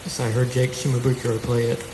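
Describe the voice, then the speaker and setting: A man's voice: medium pitch, little pitch movement, quick pace. A young man from South Carolina, a musician guest giving brief, polite answers in a live stage interview. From South Carolina, United States. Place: live stage broadcast show. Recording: very noisy, echoey stage recording.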